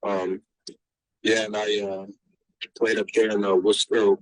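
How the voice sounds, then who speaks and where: A young man from Massachusetts, raised in Texas, answering interview questions as a basketball recruit, halting with many fillers and restarts. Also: on the low side, flat delivery, low-quality recording. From born in Massachusetts, raised in Texas. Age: young adult.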